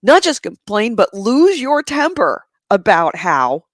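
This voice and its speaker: A woman hosting a podcast in a casual chat, her voice hoarse and gravelly, talking in a chatty, animated way and laughing often. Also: medium pitch, takes it slowly, no echo, studio quality. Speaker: podcast host. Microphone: adjustable microphone close to the mouth.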